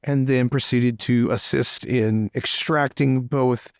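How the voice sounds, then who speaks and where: A man playing a chatty, excitable lord in an improvised roleplay, talking in a rambling, theatrical and emphatic way. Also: mid-range voice, slow, no room sound, studio quality. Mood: boastful.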